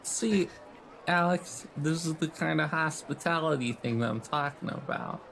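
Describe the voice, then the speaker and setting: A man's voice: fairly high pitch, unhurried, vocal fry, a bit bright, a faint crowd. Man as an eager, chummy schoolkid, noisy recording. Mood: eager, friendly. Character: eager, friendly schoolkid called D.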